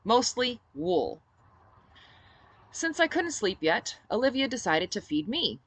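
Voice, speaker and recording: A woman reading her own novel aloud as expressive first-person narration. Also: a little high, slow, husky. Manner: dramatic reader who shifts into character for dialogue.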